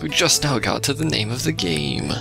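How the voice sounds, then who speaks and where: A man's voice: medium pitch, big pitch swings, moderate pace, gravelly, music in the background. A man giving casual, dry and sarcastic commentary on a video game he is playing. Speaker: let's play commentator.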